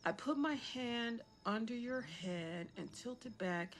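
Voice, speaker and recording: A woman's voice: fairly high pitch, takes it slowly, slight background noise. A woman playing a cruel, self-absorbed young female killer in a darkly comic audio drama, speaking in a mocking, sardonic, conversational way. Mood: relieved, irritated. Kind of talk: dramatic monologue in an audio horror comedy.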